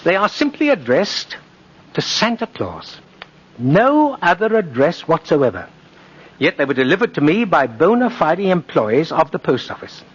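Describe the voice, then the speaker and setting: An older actor playing a kindly, courteous old man who claims to be Santa Claus, with a husky voice, in 1950s radio style. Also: on the high side, very animated, unhurried, full character voice. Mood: courteous, confident. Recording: muffled old radio broadcast.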